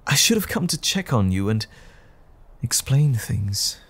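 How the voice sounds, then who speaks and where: Casting: male actor as troubled gentleman scholar, medium-pitched and husky, formal, hesitant, earnest, for audio roleplay. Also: big pitch swings, a little slow. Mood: remorseful, earnest. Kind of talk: audio roleplay drama acting.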